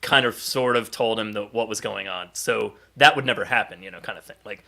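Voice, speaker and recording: A man's voice: lowish voice, brisk, gravelly, sharp tone. A man chatting casually and enthusiastically as a podcast co-host, acting out bits as he retells stories. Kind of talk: casual enthusiastic pop-culture chat.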